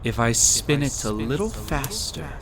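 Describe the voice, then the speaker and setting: A man playing a possessive, wicked prince in a hypnosis roleplay audio, speaking in a deliberate, coaxing, commanding and pompous way. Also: mid-range voice, big pitch swings, crowd noise. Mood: coaxing, hypnotic. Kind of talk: scripted roleplay audio, slow hypnotic monologue.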